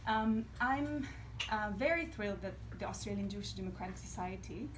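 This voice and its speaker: A woman's voice: mid-range voice, lively intonation, moderate pace. A woman from Akka whose first language is Arabic, speaking earnestly at a public event with many ums and rephrasings. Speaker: panel speaker. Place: small restaurant venue. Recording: noticeable background noise in a venue.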